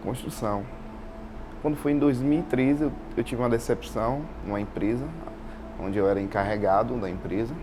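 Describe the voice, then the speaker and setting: A man's voice: medium pitch, a little fast, noisy background. A man with a Bahian Brazilian accent telling his own story informally as an interview guest, repeating phrases and often adding tag questions. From Salvador, Bahia, Brazil. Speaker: interview guest, installation business owner. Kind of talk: informal personal account. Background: background music.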